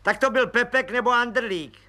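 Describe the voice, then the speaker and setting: A man's voice: very high-pitched, sharp tone, pitched above the performer's own voice. A man voicing an eager, overfamiliar little red-haired police agent who talks in a slangy, chatty way. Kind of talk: audiobook character voice.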